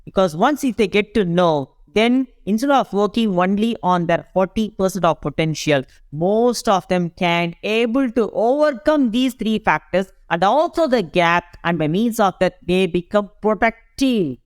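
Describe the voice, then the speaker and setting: A podcast host in their fifties with a South Indian English accent, speaking Tamil as a first language. The voice is smooth and resonant, with a dry, close sound and no room around it. The delivery is slow and wandering, full of restarts and corrections as the host works toward each point. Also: medium pitch, expressive, dry recording. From Tamil Nadu, India. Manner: rambling, repeats and corrects itself, slow deliberate phrasing. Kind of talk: motivational podcast monologue.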